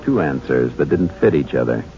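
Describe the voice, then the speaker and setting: An actor playing a steady, authoritative Texas Ranger in a terse, matter-of-fact 1950s radio crime drama style. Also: male voice, a bass voice, normal speed, slightly rough, soft background music, full character voice. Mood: measured narration. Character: steady, authoritative male Texas Ranger investigator who also narrates. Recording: dull, lo-fi old radio recording.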